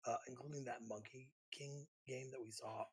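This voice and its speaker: A man's voice: medium pitch, steady tone, moderate pace, slightly rough. A man co-hosting a podcast, chatting informally and giving his opinions on games. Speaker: podcast co-host. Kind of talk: casual chat about games.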